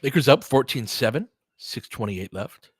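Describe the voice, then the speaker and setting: A man's voice: medium pitch, lively intonation, moderate pace, breathy edge, dry recording, studio quality. An American man doing casual, conversational live basketball commentary while chatting with viewers. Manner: conversational, reacting to chat comments. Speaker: play-by-play streamer and analyst.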